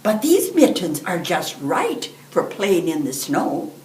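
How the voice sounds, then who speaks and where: A woman's voice: lowish voice, big pitch swings, slow, a little mellow. An elderly woman voicing an eager, earnest little boy in a storybook, pleading and fretting. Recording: roomy, distant microphone, background hiss.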